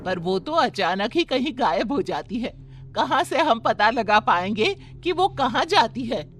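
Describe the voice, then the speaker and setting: A woman plays an anxious, accusing wife from a village cartoon tale. Her highish voice moves up and down with feeling, over faint music. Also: very animated, a little slow. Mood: doubtful. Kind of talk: animated moral story acting.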